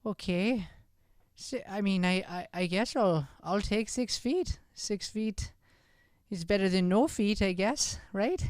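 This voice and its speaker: Male actor as bashful Irish teen boy, radio play. Slow, halting stammer, smooth tone, dry sound. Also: high-pitched, no room sound. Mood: bashful, earnest. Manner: stammering, flustered, hesitant. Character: shy, smitten fourteen-year-old Irish schoolboy. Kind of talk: improvised old-time radio comedy play.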